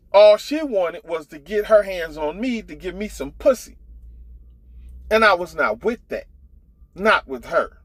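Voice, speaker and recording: Animated, blunt man ranting, unhurried pace. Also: sits high, dry recording. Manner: blunt, profane, exasperated. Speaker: online storyteller and commentator. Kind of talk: casual gossip rant.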